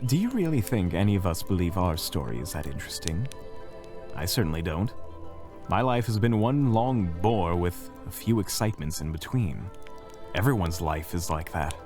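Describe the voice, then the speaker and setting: A man's voice: sits low, very animated, normal speed, darkish tone, faint music behind. A man playing a world-weary, blunt adventurer in an audio roleplay. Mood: wry, matter-of-fact.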